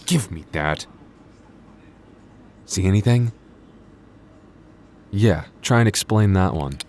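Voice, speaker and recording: A man's voice: low-pitched, lively intonation, slow pace, darkish tone. A man acting a flustered young vampire store clerk in an audio roleplay, stammering nervously and awkwardly. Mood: exasperated, defensive. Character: flustered young male vampire working convenience store night shift. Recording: faint background noise.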